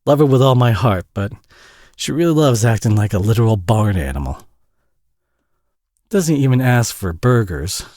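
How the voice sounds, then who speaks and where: A man's voice: medium pitch, expressive, normal speed, pauses often, a little mellow, no echo, clean studio sound, close to an everyday voice. A man acting a tired, flustered, friendly single dad with a Southern US accent in an intimate audio roleplay, rambling and stammering in a folksy, self-deprecating way. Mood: playful, warm.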